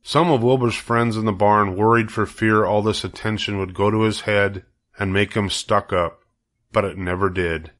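A man narrating a children's story in a measured, deliberate way. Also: fairly low pitch, fairly even intonation, a bit bright. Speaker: storybook reader.

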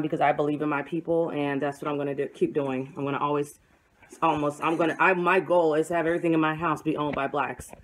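A young woman vlogging at home with a phone camera, talking in a blunt, emphatic and quick way as she shows books. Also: sits low, low-quality recording. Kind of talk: casual home vlog showing books. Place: small room at home, indoors. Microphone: phone camera held at arm's length.

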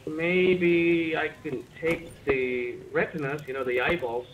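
A man with a slightly high voice improvises as a medic in a roleplay game. He talks casually, half to himself, and swears. The voice chat has clear background noise. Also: fairly even intonation, takes it slowly, phone-quality sound. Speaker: roleplay player acting as a medic.

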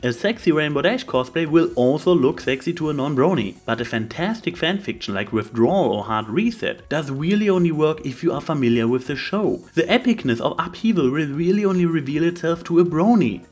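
Higher-voiced man doing opinionated commentary. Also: animated, moderate pace, hardly any pauses. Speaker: video host. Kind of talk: scripted opinion commentary voice-over. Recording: music behind the voice. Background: background music.

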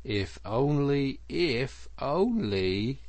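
A man's voice: medium pitch, expressive, unhurried, darkish tone, slightly rough, no room sound, pitched above the performer's own voice. A man voicing a sceptical but kindly rat from a storybook, with a knowing, coaxing manner. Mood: dubious. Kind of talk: storybook character voice.

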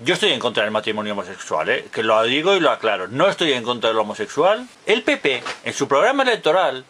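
A man's voice: mid-range voice, big pitch swings, quick pace, pushed and bright, slightly rough. A man in his fifties from Barcelona, delivering an emphatic, opinionated monologue to a close webcam and repeating his points insistently. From Barcelona, Spain. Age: 50s. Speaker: video blogger. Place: indoors in front of a blue wall.

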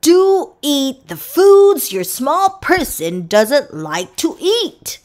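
A woman voicing an earnest, boastful pet dog in a children's picture book, with emphatic, drawn-out delivery. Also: high-pitched, big pitch swings, darkish tone, voice pushed up, heavily acted. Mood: earnest, playful.

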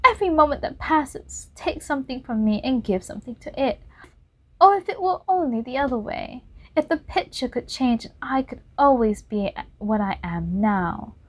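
A woman's voice: sits high, pitched above the performer's own voice. A woman voicing a vain young man who is anguished about growing old, speaking in an impassioned, pleading way.

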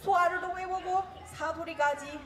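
Chatty woman, high voice, echoing hall. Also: steady tone, normal speed, fairly bright tone. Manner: chatty, emotional, frequent sighs of 아이고. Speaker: contest participant. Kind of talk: personal story told on stage. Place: event hall. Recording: roomy hall, distant mic, faint noise.

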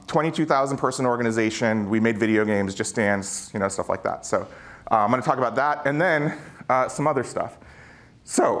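A middle-aged man giving an informal conference talk on stage, slangy and conversational, often checking in with listeners. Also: mid-range voice. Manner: conversational, slangy, peppered with rhetorical check-ins. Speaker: keynote speaker, former security chief.

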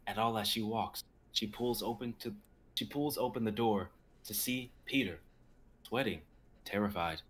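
A man in his twenties reads scripted narration in a fairly low voice. He trips over the text now and then, and the home video-call recording carries faint noise. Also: normal speed, a bit bright. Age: 20s. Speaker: narrator reading stage directions.

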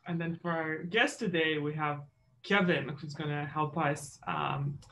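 A woman's voice: deep voice, a little slow, a bit bright, slight background noise. A woman from Romania co-hosting a webinar in an upbeat, chatty way with fillers. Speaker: webinar co-host. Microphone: video call.

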